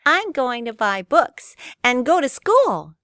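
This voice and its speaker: A woman acts out a little wooden boy from a storybook. The voice is high and animated, with a naive, eager, childlike way of talking. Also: takes it slowly, no echo, clean studio sound, exaggerated voice.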